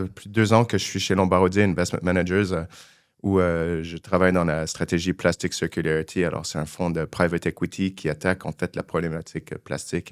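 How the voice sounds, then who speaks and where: A man in his forties with a Canadian French accent talks through his career in a lively, chatty way, stumbling over fillers and restarts. His voice is very low and smoothly resonant. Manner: chatty, filler-heavy, energetic. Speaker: investment director. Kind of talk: podcast interview answers.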